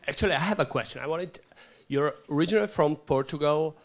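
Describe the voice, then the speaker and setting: A man's voice: fairly high pitch, fast talker, a little hiss or hum. Rambling male audience questioner. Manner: rambling, hedging with "like". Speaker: audience member asking a question.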